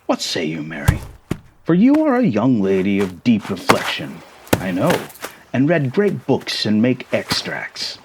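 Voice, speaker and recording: A man's voice: on the low side, huge pitch swings, a little slow, very noisy. A man voicing a genteel middle-aged father in a storybook, speaking formally with dry, teasing mock seriousness. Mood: mock-serious.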